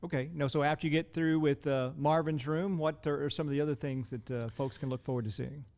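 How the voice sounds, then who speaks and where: A man with a somewhat high voice acts as the interviewer. His delivery is casual and conversational, like an informal podcast chat. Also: fairly even intonation, brisk, darkish tone.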